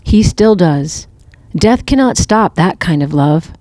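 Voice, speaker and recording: A woman playing a wise, tender older mother in an audio drama, speaking in a gentle, reassuring and earnest way. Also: on the low side, expressive, slow pace, dry recording. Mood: earnest, consoling.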